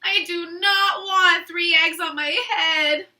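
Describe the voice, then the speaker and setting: A woman's voice: extremely high pitch, steady tone, takes it slowly, pushed and bright, exaggerated voice. A woman voicing an anxious, fretful male elephant in a storybook reading, with a whiny, wailing way of complaining. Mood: upset wailing.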